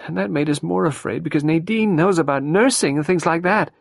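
A man's voice: a little high, a little fast, vocal fry, no echo, clean studio sound, voice pushed up. A man reading an audiobook, voicing a distraught young woman who pleads and falters.

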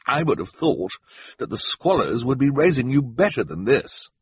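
A man voicing a stuffy, disapproving middle-aged gentleman who scolds children in a fussy, reproachful way. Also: mid-range voice, very animated, normal speed, breathy edge, no echo, pitched above the performer's own voice. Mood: disturbed, scolding.

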